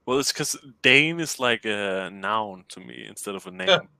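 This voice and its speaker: Give me a hesitant, giggly man with a Danish accent, bright-voiced and dry, talking over a call. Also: mid-range voice, very animated, moderate pace, gravelly, smooth, no room sound. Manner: hesitant, giggly, many restarts. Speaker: client commissioning a map. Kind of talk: casual chat.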